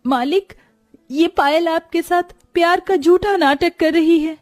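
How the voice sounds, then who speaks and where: A woman's voice: very high-pitched, a little slow, darkish tone, dry recording, studio quality, faint music behind, pitched above the performer's own voice. A woman voicing a loyal, anxious young servant woman in a moral story, earnest and deferential. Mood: urgent pleading.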